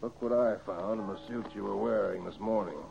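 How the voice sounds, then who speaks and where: A man's voice: lowish voice, steady tone, fairly quick, gravelly. An actor playing a concerned, fatherly older police inspector, American, in an old-time radio mystery. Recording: muffled, hissy old radio recording.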